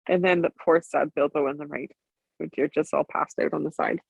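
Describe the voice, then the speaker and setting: Bedtime storyteller, female: lowish husky voice, animated intonation, expressive, recorded dry. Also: brisk, no echo, poor audio. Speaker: storybook reader.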